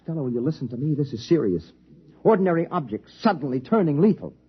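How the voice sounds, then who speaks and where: An actor playing a rattled, insistent military major, a wry scientist, in a 1950s radio drama. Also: male voice, fairly high pitch, sing-song, fast talker, breathy edge. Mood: urgent, pleading. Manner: hesitant, agitated, wry. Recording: dull, lo-fi vintage radio broadcast.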